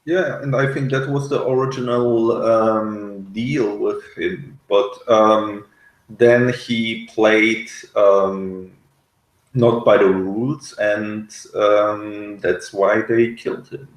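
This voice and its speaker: A man with a fairly low voice reviews a TV episode in a casual, analytical way. He talks very slowly and haltingly, searching for his words. The recording is roomy and echoing. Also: steady tone. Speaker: podcast reviewer.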